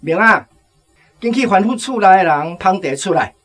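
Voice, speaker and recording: A man's voice: high-pitched, expressive, moderate pace, a bit bright. A man playing a bossy but genial older head of a village household in a radio drama, with a Taiwanese accent. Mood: proud, hospitable.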